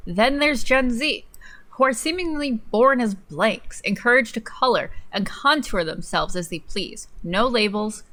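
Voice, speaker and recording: A woman's voice: a little high, expressive, measured pace, sharp tone. A young woman co-hosting a livestream from a room indoors, giving a dramatic reading of an article. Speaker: livestream co-host.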